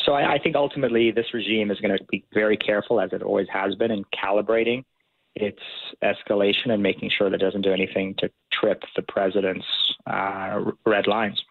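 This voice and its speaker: A man giving measured, policy-focused analysis as a guest in a radio interview, heard over a phone line. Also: fairly low pitch, steady tone, gravelly, no room sound.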